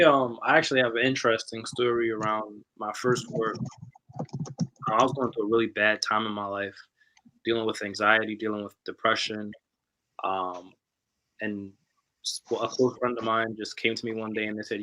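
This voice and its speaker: Male author, low bright voice, flat and measured, candid and reflective. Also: rough recording. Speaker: author guest. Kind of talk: casual panel talk, personal story.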